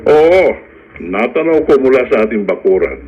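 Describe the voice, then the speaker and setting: A man's voice: mid-range voice, big pitch swings, moderate pace, a little mellow, husky, dull audio. A man acting in a Filipino radio drama as a middle-aged, commanding landowner with an authoritative manner. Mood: brisk, pleased.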